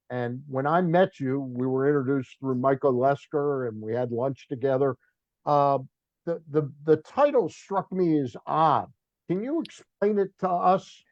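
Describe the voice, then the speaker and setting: A man's voice: on the high side, darkish tone, dry recording, lo-fi sound. A man hosting a sports talk show, chatting bluntly with a guest and reading sponsor plugs. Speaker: sports radio host. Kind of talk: casual interview chat.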